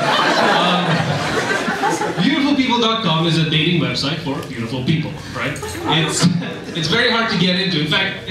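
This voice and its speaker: A young man from Russia doing a stand-up comedy set with wry, deadpan delivery and lots of ums. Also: on the high side, heavy background noise. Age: young adult. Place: comedy club stage. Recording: echoey club recorded from a distance. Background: audience laughter.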